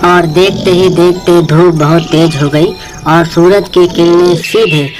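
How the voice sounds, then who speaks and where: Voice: medium pitch, fairly even intonation, a little slow, rough recording. A narrator reading a scripted children's moral story. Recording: music and birdsong behind the voice.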